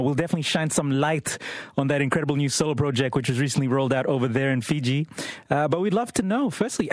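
Male interviewer for radio, lighter-pitched voice, casual enthusiastic delivery with slang, clean dry sound. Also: no echo.

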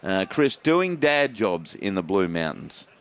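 A man's voice: low-pitched, big pitch swings. A man with an Australian accent hosting a radio sports show, bantering and reading out listener texts. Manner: laughing, quick, chatty.

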